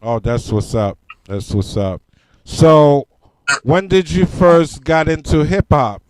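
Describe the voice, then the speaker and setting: A man hosting a podcast interview in an unhurried, laid-back way. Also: on the high side, low-quality recording. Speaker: podcast host. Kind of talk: casual interview.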